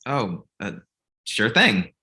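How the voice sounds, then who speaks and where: A man's voice: on the low side, big pitch swings, slow pace, pushed and bright, heavily acted. A man playing a glib, self-deprecating young filmmaker in a comedy table read, casual and wry. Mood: dismissive.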